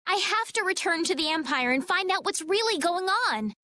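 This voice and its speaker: A woman voicing a gentle, anxious, well-bred young lady in an anime video game, polite and earnest. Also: very high voice, expressive, brisk, exaggerated voice. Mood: worried, earnest. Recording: background music behind the voice.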